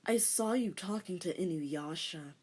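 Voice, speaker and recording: A woman's voice: on the low side, measured pace, a little mellow, breathy edge. A woman voicing an intense, reserved and jealous boyfriend in a comic fan-fiction reading. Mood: quietly jealous. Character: intense, quietly jealous male live-in boyfriend.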